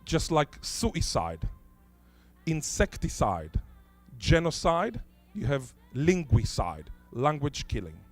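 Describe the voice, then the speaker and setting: Male conference lecturer, first language Hebrew, slightly raised pitch, deliberate emphasis, faint background music. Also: big pitch swings. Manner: rhetorical questions, deliberate emphasis. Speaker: conference speaker. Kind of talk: academic conference talk.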